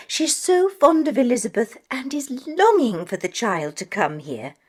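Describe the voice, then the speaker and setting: An actress playing a kindly, fretful older lady's companion in a children's audio drama, earnest and emphatic. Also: mid-range voice.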